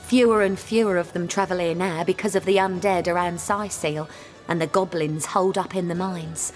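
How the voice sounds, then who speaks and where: A woman's voice: lowish voice, steady tone, moderate pace, lightly acted. A woman playing a dramatic, genteel courtesan and damsel in a video game, with a theatrical, mannered delivery. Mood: wistful. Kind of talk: video game character acting. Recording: reverberant hall sound, music underneath.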